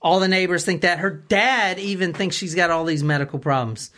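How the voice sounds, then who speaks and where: A woman's voice: lowish voice, a little fast, a bit bright. A woman hosting a true-crime talk, telling the story conversationally and often checking that listeners follow.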